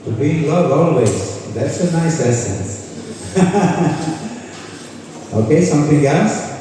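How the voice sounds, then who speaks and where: A man's voice: on the high side, lively intonation, slow, crowd noise. Man speaking in an echoey hall. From Croatia. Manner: conversational, poses questions to the audience. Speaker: kirtan leader and spiritual speaker. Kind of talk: informal spiritual talk to a live audience. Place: large indoor hall with a live audience. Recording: echoey hall, voice far from the mic.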